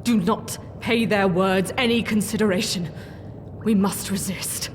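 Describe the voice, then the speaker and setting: A woman's voice: medium pitch, steady tone, a little slow, noisy background, natural voice. Woman as grave companion in long reverb. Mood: grave warning.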